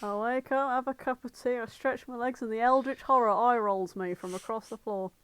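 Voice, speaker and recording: A woman's voice: fairly high pitch, steady tone, brisk, fairly bright tone, clean tone, no room sound, low-quality recording. A woman co-hosting a podcast in casual comedic chat, with a playful, joking manner. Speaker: podcast co-host.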